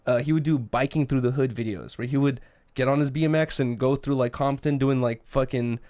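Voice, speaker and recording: A man's voice: mid-range voice, a little fast, darkish tone, dry recording. A young man from Russia, co-hosting a casual podcast, rambling with lots of fillers and swearing. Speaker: podcast co-host.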